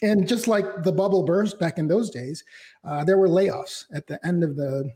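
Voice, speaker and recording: High-voiced reflective man, interview guest. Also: moderate pace, mellow. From Mexico. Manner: reflective, talks in long winding sentences with fillers. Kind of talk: casual interview.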